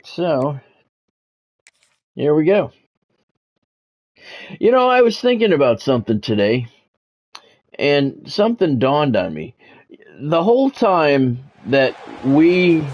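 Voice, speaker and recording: A man's voice: mid-range voice, a little mellow. A man giving casual, rambling, conversational commentary as he hosts a football video game stream. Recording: dull lo-fi audio with music behind.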